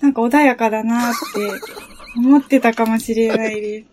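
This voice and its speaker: A woman's voice: fairly high pitch, steady tone, normal speed, background noise. A woman speaking as a podcast guest in casual chat, politely and a little tentatively.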